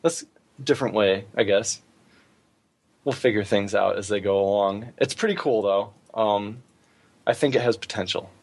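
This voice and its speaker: A man's voice: fairly low pitch, moderate pace, slightly rough. Man hosting a casual gaming podcast. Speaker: podcast host. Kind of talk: casual chat about gaming.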